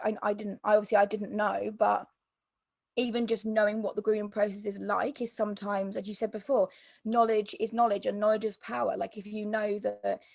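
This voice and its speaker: Woman guest, croaky voice, halting delivery, dry close mic. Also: medium pitch, no echo. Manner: hesitant, many ums and restarts. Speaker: interview guest, mental health YouTuber. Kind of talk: personal story in conversation.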